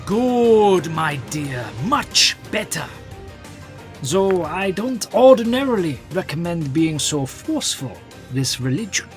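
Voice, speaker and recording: A man plays a stern mentor and vampire hunter in an audio drama. The delivery is earnest and commanding, with wide swings in pitch. There is music underneath. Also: sing-song, slow pace, exaggerated voice. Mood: firm coaching.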